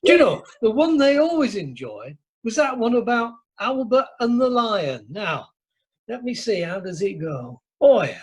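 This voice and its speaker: A man's voice: high voice, big pitch swings, measured pace. A man playing a jovial, rambling show-off who loves monologues, in an amateur radio drama. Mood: pleased, bashful. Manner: jovial, rambling, bashfully boastful.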